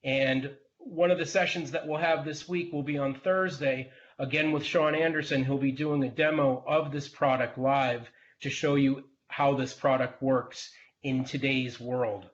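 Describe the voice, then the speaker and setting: A man hosting a webinar presentation in a measured, businesslike way. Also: mid-range voice, fairly even intonation, dull audio.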